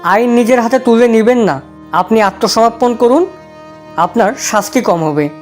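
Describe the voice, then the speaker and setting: Lady playing a formal officer in a story drama, higher voice, bit of music. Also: moderate pace, darkish tone, close to an everyday voice. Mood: firm. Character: formal, composed security force officer. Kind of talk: dramatized audio story acting.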